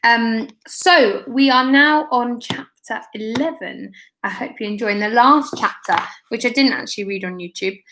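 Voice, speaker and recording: A woman with a fairly high voice reads a children's story aloud. She is an expressive storytime reader who lifts her voice when the characters talk. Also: moderate pace, a little hiss or hum, low-quality recording. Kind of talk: reading a children's book aloud, live online storytime.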